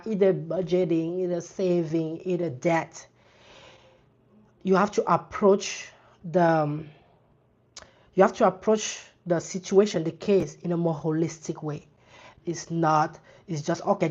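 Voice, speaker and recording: A woman from Cameroon in her thirties, hosting a live finance Q&A, speaking earnestly and often repeating and restarting her phrases. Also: lowish voice. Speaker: personal finance livestream host. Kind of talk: casual live Q&A answering viewer questions. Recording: dull lo-fi livestream audio.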